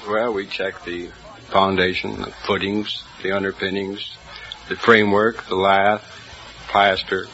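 A man's voice: low-pitched, flat delivery, measured pace, bright, forceful tone. A man giving plain, literal answers as a contestant on a vintage radio quiz. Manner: plain, literal, matter-of-fact. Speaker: quiz contestant, building inspector. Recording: noisy, muffled old radio broadcast.

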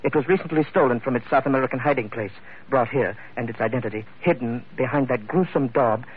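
An actor playing a pompous, wheedling gentleman schemer with ornate, old-fashioned speech, in an old-time radio drama style. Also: medium pitch, fast talker, slightly rough, close to an everyday voice. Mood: grandiose persuasion. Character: florid, wheedling gentleman schemer, fussy and grandiose. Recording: tinny, narrow-band old radio recording.

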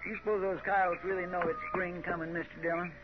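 A man's voice: high-pitched, level tone, fast, lightly acted. A man playing a folksy, talkative, deferential frontier deputy with a drawling rural American accent in a 1950s radio western. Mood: curious, deferential. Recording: muffled, very noisy old radio broadcast.

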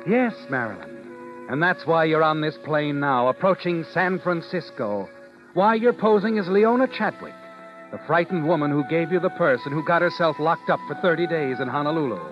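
Guy playing taunting suspense narrator, highish male voice, old muffled 40s radio sound w music under it. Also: expressive, moderate pace, lightly acted. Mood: insinuating, suspenseful. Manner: taunting, second-person storytelling. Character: knowing, insinuating male narrator addressing the heroine.

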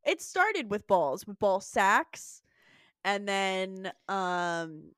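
A woman's voice: mid-range voice, very animated, very slow, a bit bright, clean tone, no echo, clean studio sound. A woman co-hosting a podcast, recapping an episode in casual, crude, giggly banter. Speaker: podcast co-host. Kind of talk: casual comedic recap chat.

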